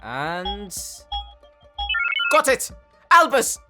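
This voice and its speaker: A woman playing an excitable, high-strung tech expert in an audio drama, flustered, emphatic and dramatic. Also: very slow, loud music behind the voice. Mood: relieved. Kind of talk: anime-style audio roleplay acting.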